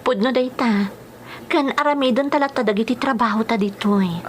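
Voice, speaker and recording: A woman's voice: a little high, brisk, a little hiss or hum, natural voice. An actress playing a cautious, anxious migrant housemaid and mother in a radio drama, earnest, with a Filipino accent. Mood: cautious, worried.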